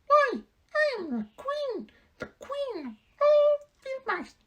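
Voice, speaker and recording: A tiny female mouse queen squeaks high and thin, proud and easily offended. Her lines swing widely in pitch, with a storybook's regal flair. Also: huge pitch swings, slow pace, lo-fi sound, full character voice. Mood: indignant regal. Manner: indignant, regal.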